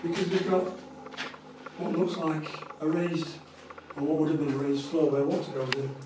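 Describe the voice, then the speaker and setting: A man's voice: on the high side, little pitch movement, moderate pace. A man chatting informally and explaining things, recorded outdoors on a camera microphone. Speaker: sailor, co-host of travel vlog. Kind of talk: casual chat. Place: outdoors at harbours and old ruins. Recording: clear outdoor background noise, camera audio.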